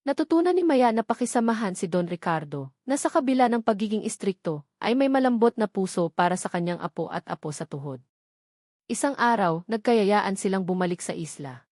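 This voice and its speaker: Female narrator for a romance audiobook, measured and sentimental, medium-pitched, recorded dry. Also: expressive, darkish tone, no room sound. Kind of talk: scripted romance story narration.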